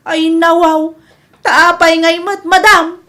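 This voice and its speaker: Radio comedy drama: woman plays junior female employee, very high voice, hesitant, deferential yet candid. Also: level tone, slow, sharp tone, full character voice. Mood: meek, protesting.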